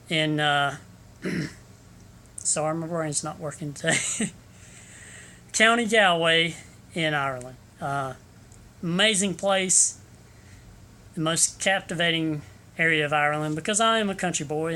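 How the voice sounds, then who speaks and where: A man gives a rambling, hesitant sales pitch into his phone camera, with many ums and restarts, in a room with an overhead fan running. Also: pushed and bright, a little hiss or hum. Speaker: local photographer selling prints.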